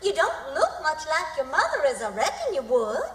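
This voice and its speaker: A woman playing an excitable, fussing farm aunt with an American Southern accent, effusive, in a radio play. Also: high-pitched, normal speed, exaggerated voice. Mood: overjoyed. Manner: effusive, exclaiming. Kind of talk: old-time radio theatre acting. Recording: roomy echo with faint music.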